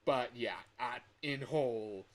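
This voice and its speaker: A man's voice: medium pitch, takes it slowly. Rambling man chatting casually on a livestream. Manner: rambling, repeats phrases, often chuckles. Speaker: livestreamer and hobby game developer.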